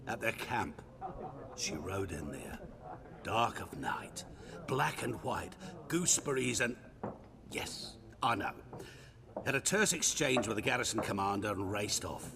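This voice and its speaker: An actor playing a sly wandering merchant in a fantasy game, florid and courteous, ingratiating and teasing. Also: male voice, lowish voice, big pitch swings, a little slow, long pauses, a crowd in the background, heavily acted. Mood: matter-of-fact, genial. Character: sly, humble-seeming wandering male merchant, theatrical and courteous. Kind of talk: fantasy video game acting. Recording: game dialogue with clear background noise.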